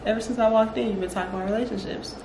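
A woman's voice: a little high, fairly even intonation, rapid-fire. A woman, chatting casually as a client in a small room indoors. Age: 20s. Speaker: vlogger, salon client. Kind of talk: casual chat. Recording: roomy echo, clearly noisy room.

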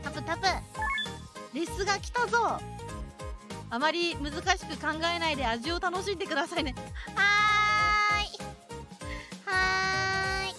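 Very high-pitched woman streaming a game. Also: unhurried, pauses often. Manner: excitable, talks to players and viewers, repeats phrases. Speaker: livestreamer. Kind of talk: video game commentary with casual chat. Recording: game music behind the voice. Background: baseball video game music.

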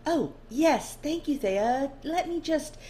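A woman's voice: high voice, animated, slow pace, dark tone, voice pushed up. A woman voicing a polite, self-deprecating female elven linguist in a storybook reading. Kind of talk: storybook character dialogue. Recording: faint background noise.

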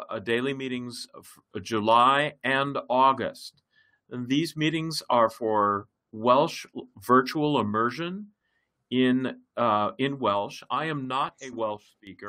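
Bright-voiced man hosting an online class. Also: mid-range voice. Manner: halting, many fillers and restarts. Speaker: project facilitator. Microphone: computer mic in a web meeting.

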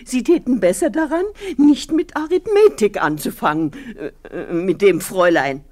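Actress as a nagging housemaid, lively pitch. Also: female voice, moderate pace, close to an everyday voice. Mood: warning, resigned. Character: blunt, anxious, nagging housemaid. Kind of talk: 1950s German-language radio play acting.

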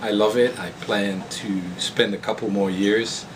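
A man in his thirties with a Dutch accent introduces himself informally, like a researcher talking to a camera. His voice sits fairly low. There is clear background noise from the room around him. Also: steady tone, a little slow. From Netherlands. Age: 30s. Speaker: postdoctoral researcher. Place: indoors in a laboratory.

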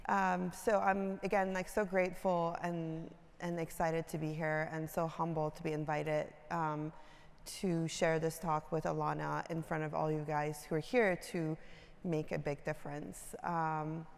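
A middle-aged woman from Iran telling her life story on a conference stage, with a slow, humorous manner full of ums. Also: low-pitched, flat delivery, vocal fry, clean tone. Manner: warm-humored, drawn-out, filler-heavy. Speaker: creative director, speaker. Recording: hall reverb.

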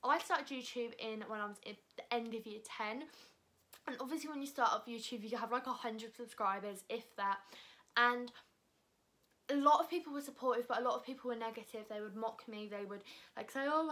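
Chatty British teen girl, bright voice. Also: on the high side, moderate pace. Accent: British English. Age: teens. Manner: chatty, animated hand gestures, frequent "like" and "um". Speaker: YouTuber. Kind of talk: casual vlog answering viewer assumptions. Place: living room, seated on a sofa indoors. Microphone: camera in front at close range.

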